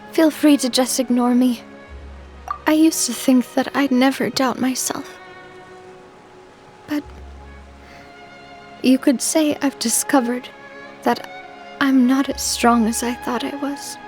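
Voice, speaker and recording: A woman voicing a young gang boss who speaks softly and in a measured, heartfelt way. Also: sits high. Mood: vulnerable. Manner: soft-spoken, measured, emotional. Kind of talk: game voice acting.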